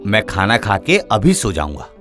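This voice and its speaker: Animated meek village potter. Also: male voice, on the low side, normal speed, soft background music. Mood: urgent, excited. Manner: hesitant, exclamatory. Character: meek, crafty poor village potter, harried husband. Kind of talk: cartoon story voice acting.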